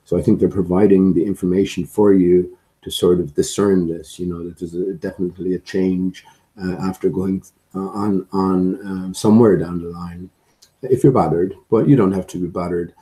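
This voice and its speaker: A man with a low, very dark and relaxed voice that sounds a little hoarse. He hosts a casual livestream, speaking in a musing, hesitant ramble. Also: normal speed, poor audio. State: slightly hoarse, occasional coughing. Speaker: livestream host and amateur musician.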